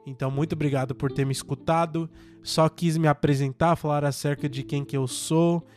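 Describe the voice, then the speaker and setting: A man's voice: a little high, steady tone, fairly quick, a little mellow, soft background music. Man, earnest Portuguese learner. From United States. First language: English. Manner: earnest and motivational, with frequent fillers. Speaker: podcast host and language teacher. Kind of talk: casual solo podcast monologue.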